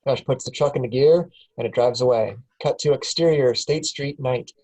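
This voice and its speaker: A man's voice: medium pitch, normal speed, a little mellow, rough recording. A man reading scripted stage directions aloud as the narrator at a table read.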